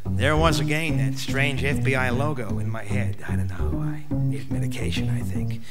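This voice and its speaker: An Australian actor playing a wry, world-weary private detective, narrating a noir parody radio play in a deadpan, declamatory way. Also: male voice, mid-range voice, moderate pace, over loud music, lightly acted. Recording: live stage radio play broadcast.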